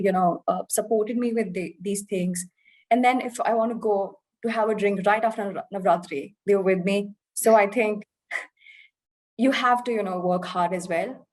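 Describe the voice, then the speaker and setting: A woman in her twenties from India, with an Indian English accent softened by life in the UK, speaking earnestly and reflectively as an interview guest, with fillers and restarts. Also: mid-range voice, poor audio. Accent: Indian English, softened by years in the UK. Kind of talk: candid conversational interview.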